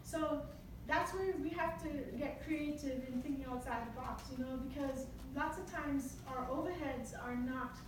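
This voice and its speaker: A woman from Jamaica giving a lively, chatty workshop talk to a room, laughing often as she tells stories. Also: high-pitched, normal speed, hardly any pauses. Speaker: workshop presenter. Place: large echoey meeting room. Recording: echoey room from far off, noisy.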